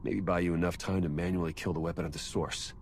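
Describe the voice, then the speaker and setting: An actor playing a quick-witted, wry male tech operative in a video game, with an urgent edge. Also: low voice, little pitch movement, a little mellow, slight background noise. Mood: urgent, focused. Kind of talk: video game cutscene acting.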